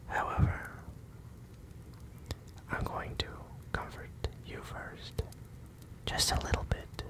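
A performer voicing a male werewolf captor in a breathy, slow, teasing whisper, close to the mic in an ASMR roleplay. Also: close to an everyday voice. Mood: soothing, coaxing. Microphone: close microphone, near the ear.

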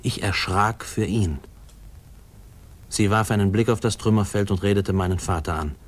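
A man acting as a low-voiced grown man looking back on his boyhood. He tells it wistfully and reflectively, in measured 1950s radio drama narration. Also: natural voice.

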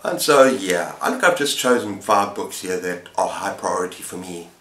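A middle-aged man chatting casually to camera as a book vlogger, speaking haltingly with short pauses. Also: deep voice, lively intonation, fairly bright tone. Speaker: book vlogger, channel host. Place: book-lined room at home, indoors. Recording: roomy, echoing indoor sound.

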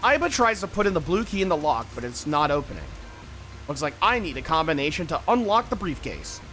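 Guy streamer, kinda high voice, super animated, reading game text and muttering to himself, bit of music behind. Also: a little fast. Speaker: streamer playing a puzzle adventure game. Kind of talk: casual game commentary, reading on-screen text aloud.

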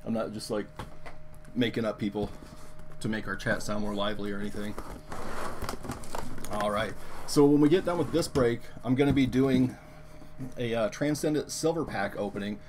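A man with a hoarse, worn voice hosting a live card-opening stream, chatting loosely with viewers and using many fillers. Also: fairly low pitch, moderate pace, pauses often, background noise. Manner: relaxed, filler-heavy, talks to chat viewers. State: hoarse, lost his voice. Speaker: card break host.

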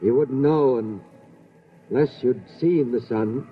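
A calm, reassuring middle-aged father played by a male actor in an old radio play. He speaks with measured, protective weariness. The sound is a dull, lo-fi 1950s broadcast recording. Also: mid-range voice, faint music behind, lightly acted. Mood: somber. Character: steady, weary, protective father of a survivor family. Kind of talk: 1950s radio drama acting.

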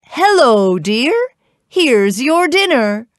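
Woman playing a high-voiced kindly storybook giantess. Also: sing-song, drawn-out words, dry recording, studio quality, full character voice. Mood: cheerful. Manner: loud greetings, hushed asides.

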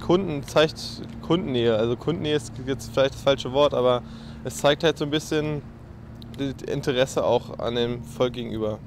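A man's voice: medium pitch, normal speed. A young man answering a street interview outdoors, thoughtful and searching for the right words. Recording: faint outdoor traffic noise.

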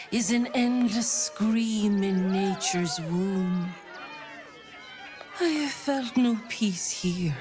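A woman voicing a solemn, tender, mystical nature priestess in a video game, speaking in a ceremonial, poetic, often breathy manner. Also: medium pitch. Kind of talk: video game character acting.

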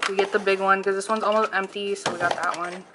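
A woman's voice: medium pitch, little pitch movement, fast talker. A young woman talks casually through her grocery haul and throws out blunt, joking opinions along the way. She is recorded in her kitchen on a handheld camera, with noticeable noise in the background. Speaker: vlogger. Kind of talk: casual chat. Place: home kitchen and pantry.

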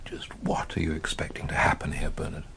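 Deep, gravelly, sceptical British man. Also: monotone, brisk, fairly bright tone, a little hiss or hum, heavily acted. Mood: wary, sceptical. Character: wary, wry village husband, sceptical of officials. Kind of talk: British radio drama acting.

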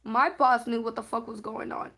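A woman's voice: fairly high pitch, fairly even intonation, normal speed, fairly bright tone. A woman who is losing her voice to illness, chatting bluntly and telling stories while playing an online game. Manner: blunt, storytelling, swears freely. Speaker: game player. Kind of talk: casual chat.

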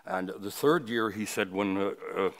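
A man of sixty-nine from Canada delivering a funeral sermon at a lectern, reflective and halting, with personal reminiscence. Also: fairly low pitch, fairly bright tone. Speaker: funeral officiant, preacher. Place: indoors at a funeral home, at a wooden lectern. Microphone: lectern microphone.

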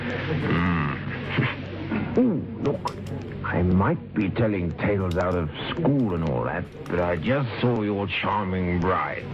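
A man's voice: low-pitched, sing-song, takes it slowly, heavily acted. An actor playing a tipsy, gossipy married man with a rambling, chummy manner in an old radio drama. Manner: rambling, hesitant, chuckling drunk talk. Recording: muffled old radio recording, music behind.

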